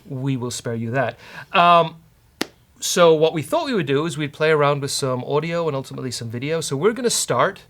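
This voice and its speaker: A man with an Irish accent hosting a casual live-coding demo, dry, teasing and halting. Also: a little high, animated, fairly bright tone. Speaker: host, software developer.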